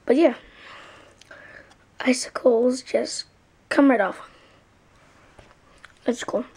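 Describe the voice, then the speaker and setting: Soft-spoken young woman, breathy and slow. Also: high voice. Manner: soft, half-whispered, laid-back. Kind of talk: casual chat, showing off a gingerbread house.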